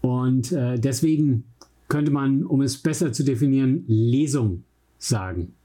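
A man's voice: medium pitch, unhurried, warm tone. A man with a German accent co-hosting a podcast in casual chat from a home studio, with a joking, self-deprecating manner. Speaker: podcast co-host.

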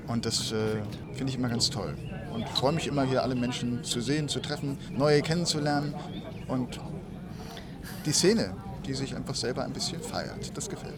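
Male interviewee, medium pitch, casual chat, clear crowd bustle in the background. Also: normal speed. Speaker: interviewed audio drama actor. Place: busy audio drama convention. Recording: busy convention crowd behind voice.

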